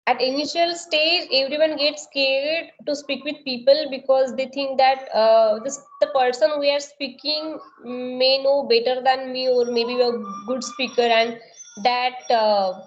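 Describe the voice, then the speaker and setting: A woman from India with an Indian English accent and native Marathi, tutoring conversation practice over a video call, speaking slowly and carefully, often repeating her questions. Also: sits high, fairly even intonation, muffled recording.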